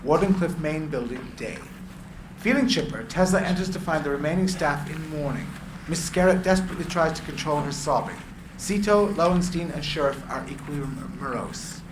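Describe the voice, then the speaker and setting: A man's voice: fairly high pitch, moderate pace. A man reading screenplay stage directions aloud as dramatic narration at a live reading. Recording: echoey room, clear background noise.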